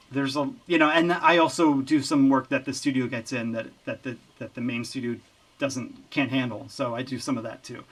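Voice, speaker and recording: A man who works as a recording engineer, chatting as an interview guest in an easygoing, halting way. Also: medium pitch, fairly bright tone. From Lehigh Valley, Pennsylvania. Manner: easygoing, halting, with many fillers and restarts. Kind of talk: casual interview chat.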